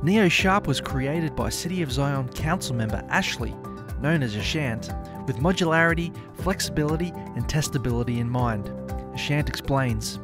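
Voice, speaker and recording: A man reading scripted narration in an even, measured way. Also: mid-range voice. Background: guitar music.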